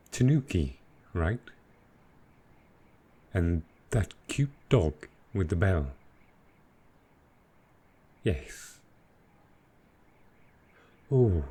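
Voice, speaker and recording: Deep, slow-talking dragon boyfriend, acted by a man. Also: darkish tone, exaggerated voice. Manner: slow, tender, hesitant speech. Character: affectionate, possessive male dragon boyfriend baffled by technology. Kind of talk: intimate boyfriend roleplay audio.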